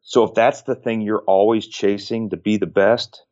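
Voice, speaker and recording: Man, late 40s, low-ish voice. Earnest, reflective ex-athlete talking through advice; muffled lo-fi audio. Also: steady tone, normal speed, a little mellow. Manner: earnest, repeats and restarts phrases, lists points. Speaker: former pro baseball pitcher, guest.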